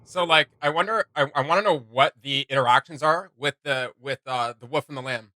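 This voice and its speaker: A man's voice: mid-range voice, brisk, bright, forceful tone, no echo. A man hosting a reaction video in casual, slangy, jokey chat.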